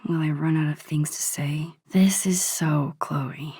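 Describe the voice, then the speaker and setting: A gentle, worrying teenage girl in a video game monologue. Her voice is soft, husky and breathy, recorded very dry. She murmurs her thoughts to herself in a sweet, introspective, nostalgic way. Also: low-pitched, fairly even intonation, a little slow, dry recording, full character voice. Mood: wistful musing.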